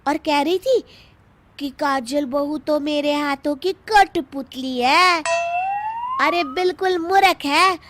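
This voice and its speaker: A woman voicing a sly young daughter-in-law in an animated comic story, gossipy and exaggerated. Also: high-pitched, slow pace, noisy background. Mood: sly gossip. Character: sly young scheming daughter-in-law who stirs up trouble.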